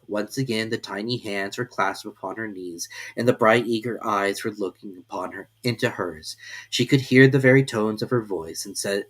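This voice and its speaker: A man giving an expressive, measured dramatic reading of a classic tale. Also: lowish voice. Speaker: storybook reader.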